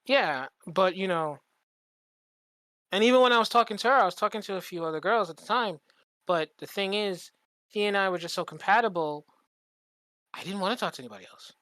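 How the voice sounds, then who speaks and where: A man's voice: high voice, fairly quick, long pauses, bright, forceful tone, dry recording. A man talking casually as a podcast co-host, rambling and candid, swearing freely. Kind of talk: casual chat.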